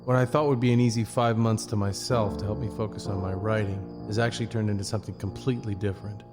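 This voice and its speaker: Actor as uneasy fire lookout, low-key narration, music bed. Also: male voice, fairly even intonation, quick pace, close to an everyday voice. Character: uneasy male fire watcher, writer. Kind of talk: audio drama narration.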